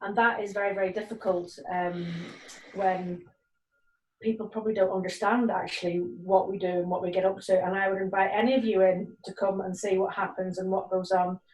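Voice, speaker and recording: A woman police sergeant in her forties speaks earnestly on a video call, pausing often on ums. Her delivery stays fairly flat. The audio is roomy and distant, as if she sits well back from the microphone. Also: mid-range voice. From Nigeria and Northern Ireland. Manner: earnest, many ums and restarts. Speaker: police community cohesion sergeant.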